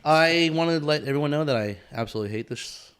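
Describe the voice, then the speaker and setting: A man's voice: a little high, fast, fairly bright tone, clean tone. A man co-hosting a podcast in casual, unscripted banter, talking in a loose bro-ish way with slang and swearing. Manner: bro-ish, peppers talk with "dude" and "bro". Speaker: podcast co-host.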